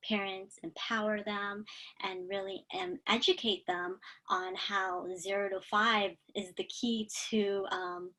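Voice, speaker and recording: Young woman guest, casual interview chat, lots of ums, mid pitch. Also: expressive, pushed and bright, rough recording. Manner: chatty, many "um" pauses. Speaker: speech language pathologist, guest.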